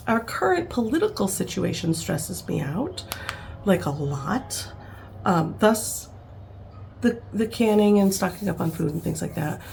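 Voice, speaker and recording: A woman's voice: medium pitch, vocal fry, slight background noise. A woman in her fifties, rambling and chatty, talking casually to camera at home, with frequent laughs and fillers. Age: 50s. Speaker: vlogger.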